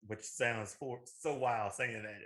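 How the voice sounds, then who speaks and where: Male podcast co-host, bright forceful voice at middle pitch, casual and emphatic storyteller. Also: fairly even intonation, takes it slowly. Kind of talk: casual opinionated chat about films.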